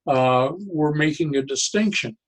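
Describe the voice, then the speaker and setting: An older man talking reflectively as an interview guest, deliberate and halting, often stopping and restarting his phrases. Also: on the high side, low-quality recording. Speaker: interview guest, psychologist and humor educator.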